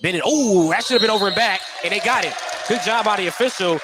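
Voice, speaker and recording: Fast-talking male play-by-play basketball announcer. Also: high voice, a loud crowd. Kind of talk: live basketball commentary. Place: indoor school basketball gym. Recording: noisy gym background.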